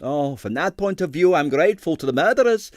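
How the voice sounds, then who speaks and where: Cheerful, obstinate young ballet man a male reader in character, breezy, raised pitch, audiobook. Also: lively intonation, a little fast, no room sound, clean studio sound, close to an everyday voice. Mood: cheerfully obstinate. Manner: breezy, stubborn.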